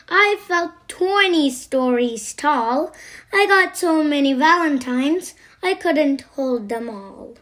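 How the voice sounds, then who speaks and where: Slow, high-voiced woman reading to children. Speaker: children's book narrator. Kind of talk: read-aloud storytelling for kids.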